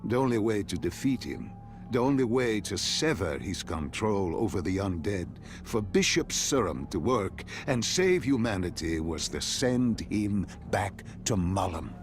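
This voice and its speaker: An actor playing a grave, all-knowing interrogator in a video game cutscene, explaining things in a measured, ominous, theatrical way. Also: male voice, fairly low pitch, big pitch swings, soft background music. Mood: solemn, knowing. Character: grave all-knowing male interrogator lecturing on dark gods.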